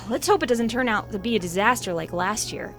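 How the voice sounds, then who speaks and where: A woman's voice: a little high, expressive, normal speed, loud music behind the voice, lightly acted. A woman playing a prim, sardonic young pony mare in a cartoon audio drama, dry and slightly huffy. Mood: wry.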